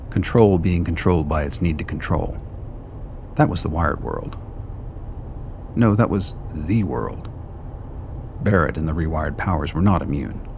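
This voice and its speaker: Male narrator, very low pitch, gravelly creak, scripted radio-drama storytelling, faint ambient noise behind. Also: a little mellow.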